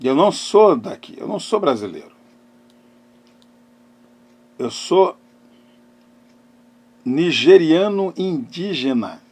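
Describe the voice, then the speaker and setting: High-pitched middle-aged man preaching. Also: very animated, slow pace, long pauses, a little mellow, breathy edge. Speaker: pastor and therapist hosting a live talk. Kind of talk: preaching, personal testimony.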